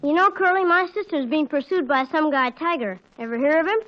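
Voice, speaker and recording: A woman playing a cocky, teasing schoolboy kid brother in 1940s radio comedy style, with smug, deadpan needling. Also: sits high, a little fast, soft background music, close to an everyday voice. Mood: bragging. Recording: muffled, band-limited 1940s radio recording.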